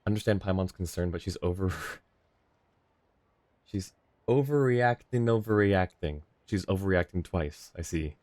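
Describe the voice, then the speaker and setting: A man's voice: sits low, unhurried, darkish tone, no echo. A man livestreaming a game with casual, conversational commentary into a close mic. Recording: dry close mic, game music behind.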